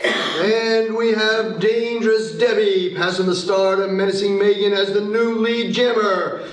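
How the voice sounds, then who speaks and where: A man playing an excited roller derby commentator, calling the match in sports style at a table read. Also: sits high, measured pace, a little hiss or hum. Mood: brisk. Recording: roomy, distant mic.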